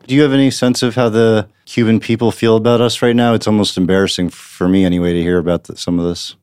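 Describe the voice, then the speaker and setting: A man hosting a podcast, chatting casually and interviewing guests in a relaxed conversational way. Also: fairly low pitch, smooth, no room sound, clean studio sound. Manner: quick, conversational, thanks guests. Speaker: podcast host.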